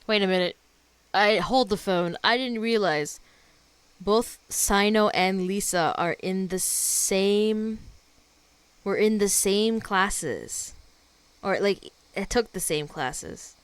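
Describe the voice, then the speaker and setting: A woman streamer giving casual, informal and expressive reactions while playing a game. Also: mid-range voice, slow, smooth, no room sound. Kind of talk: casual gaming commentary.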